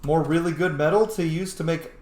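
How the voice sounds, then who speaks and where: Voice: medium pitch, a little fast, darkish tone, reverberant. A gaming streamer giving casual, chatty playthrough commentary, playful and full of swearing.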